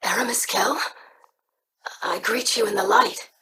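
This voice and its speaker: An actress playing an earnest, formal young alien scribe in a video game. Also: female voice, a little high, a little slow, sharp tone, recorded from a distance, slight background noise.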